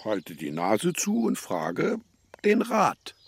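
An actor in a children's radio play playing a sly, worldly-wise older seafarer who teases and needles in sayings. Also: male voice, medium pitch, huge pitch swings, takes it slowly, gravelly, slight background noise, heavily acted. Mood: mocking. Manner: teasing, needling, theatrical, speaks in sayings.

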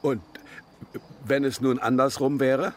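An actor playing a gruff, skeptical older man in a children's radio play, grumbling drily in short, laconic remarks. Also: medium pitch, level tone, a little slow, slight background noise, natural voice. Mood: dry, skeptical.

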